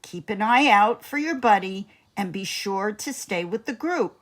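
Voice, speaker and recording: A woman's voice: mid-range voice, lively intonation, a little slow, a bit bright. A woman voicing a kindly, organized female elementary school teacher in a storybook, giving polite instructions. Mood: instructing.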